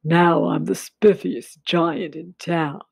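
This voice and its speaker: A woman reading aloud and voicing a kind, scruffy male giant in a lower, fuller voice. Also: animated, moderate pace, creaky, no echo, studio quality. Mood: cheerful.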